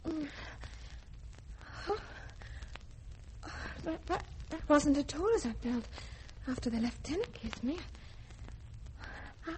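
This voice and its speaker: A sheltered, guileless young woman in a vintage radio play. Her voice is high and her phrasing artless and hesitant, on an old recording with noticeable noise. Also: a little mellow, low-quality recording. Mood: dreamy wonder. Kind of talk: 1950s-style radio drama acting.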